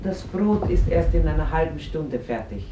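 A woman's voice: sits low, expressive, moderate pace. Stern widowed mother, noisy recording. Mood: guarded, terse. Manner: terse, guarded, commanding. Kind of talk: German radio play acting. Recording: old, noisy radio recording.

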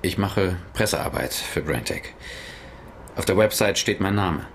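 A man's voice: deep voice, animated, unhurried, slight background noise. An actor playing an evasive man in a radio play, defending himself under questioning and stammering. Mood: defensive. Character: evasive male press writer under questioning.

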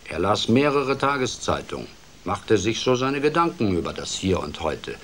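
An actor playing a playful storyteller who tells a children's fairy tale in an expressive, theatrical way for a radio drama. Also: male voice, lowish voice, normal speed, slight background noise. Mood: playful, musing.

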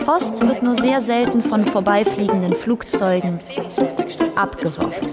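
A woman's voice: medium pitch, measured pace. A woman reading a formal, factual scripted announcement in a radio drama. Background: loud music.

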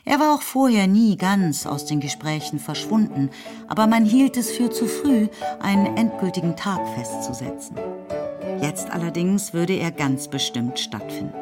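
A woman's voice: mid-range voice, very animated, normal speed. A woman narrating a radio drama in a scripted literary style. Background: music.

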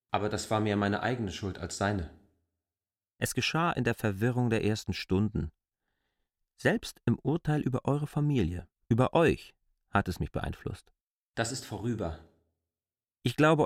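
An actor playing an earnest, brooding stranger in a radio play, speaking in a deliberate, formal, argumentative way. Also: male voice, on the low side, lively intonation. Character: earnest adult male stranger, insistent and brooding. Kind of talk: literary radio play dialogue.

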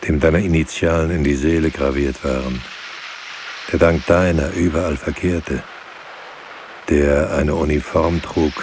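An actor playing a grave, bitter witness mourning the dead in a poetic radio drama, solemn and rhetorical. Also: male voice, rumbling low, noisy background. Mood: grave, accusing.

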